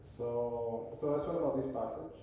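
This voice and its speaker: A man with a Venezuelan Spanish accent, preaching as a guest speaker in a church, pausing often to search for his words. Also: mid-range voice, mellow, slight background noise. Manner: halting, searching for words, frequent "uh" and restarts. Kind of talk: sermon. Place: church sanctuary. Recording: echoey hall from far off.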